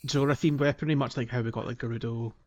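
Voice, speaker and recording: A man's voice: medium pitch, steady tone, quick pace. A man co-hosting a casual video game podcast, talking in an informal, somewhat hesitant way. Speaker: podcast host.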